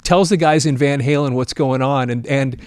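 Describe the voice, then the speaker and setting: A man's voice: mid-range voice, normal speed, smooth, dry recording, studio quality. A man hosting a show and telling a story casually to his audience, acting out bits of dialogue as he goes. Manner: animated retelling, voicing quoted dialogue. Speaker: host and storyteller.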